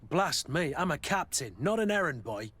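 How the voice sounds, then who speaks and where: An actor playing a blunt, grumbling mercenary soldier in a video game, with a rough, husky voice and curt delivery. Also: male voice, a little high, big pitch swings, normal speed, fairly bright tone, no room sound, heavily acted. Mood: irritated. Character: gruff, grumbling mercenary soldier, adult man. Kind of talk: video game cutscene acting.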